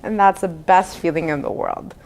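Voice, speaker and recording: A woman's voice: on the low side, moderate pace, low-quality recording. A woman counsellor from Canada talking in a casual, encouraging way and often checking in with "right?". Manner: warm encouraging listener, frequent "right?" tags. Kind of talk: casual conversation.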